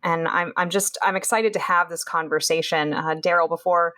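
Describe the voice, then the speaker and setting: A woman's voice: fairly low pitch, steady tone, normal speed, sharp tone. A woman hosting a clinical interview podcast, speaking with enthusiasm and occasional fillers. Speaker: podcast host.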